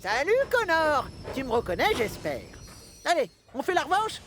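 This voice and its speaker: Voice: sits high, sing-song, normal speed, fairly bright tone, soft background music. An actor voicing a cheeky, playful young male twin locomotive in a French-dubbed children's cartoon, with a teasing manner.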